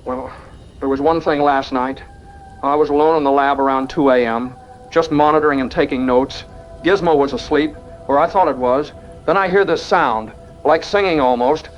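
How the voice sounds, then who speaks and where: A man's voice: mid-range voice, normal speed, faint music behind, natural voice. A man playing an earnest young university lab researcher, speaking conversationally in an interview-style audio drama. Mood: uneasy, wondering. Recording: dull audio with background noise.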